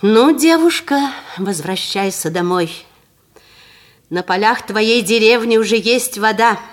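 A woman doing a kindly mighty old man. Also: on the high side. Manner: gentle, reassuring, unhurried.

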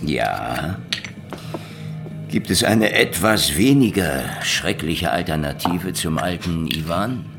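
An actor playing a cynical, sardonic, world-weary private detective in a radio play. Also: male voice, sits low, expressive, slow pace, gravelly, full character voice. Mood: dry, flirting. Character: cynical, hard-boiled male private detective, tough and sardonic. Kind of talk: hard-boiled radio play narration and dialogue. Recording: music behind the voice.

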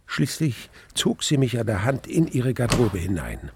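Brooding, slightly gravelly male narrator. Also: mid-range voice, big pitch swings, background noise. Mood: tense, hushed. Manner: measured, suspenseful storytelling. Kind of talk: first-person radio play narration.

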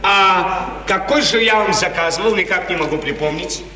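A man's voice: high voice, normal speed, close to an everyday voice. An actor playing a restless, lovesick prince in 1950s radio theatre, musing aloud theatrically and repeating his phrases. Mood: animated, gracious. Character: restless lovesick prince of a small feudal state. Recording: old noisy recording, echoey hall, distant.

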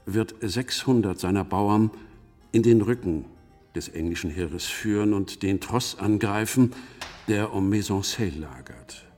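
Actor as a deep-voiced French commander in a vast hall. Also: male voice, a little slow, a little mellow, natural voice. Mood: commanding, assured. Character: French nobleman commander laying out a battle plan. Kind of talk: radio drama acting, military briefing. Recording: long hall reverb, faint music behind.

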